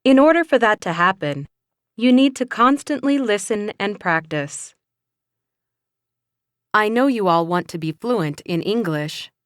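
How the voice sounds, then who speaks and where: A woman playing an encouraging but firm English teacher, speaking slowly and carefully in a scripted classroom dialogue. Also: mid-range voice, lively intonation, smooth, dry recording, studio quality, natural voice. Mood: instructive. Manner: slow, careful, instructive delivery.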